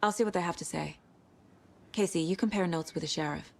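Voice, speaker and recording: Steady fbi agent lady, lowish voice, all business. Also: fairly quick, vocal fry. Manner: composed, professional. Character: calm, focused female FBI agent. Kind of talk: video game cutscene acting.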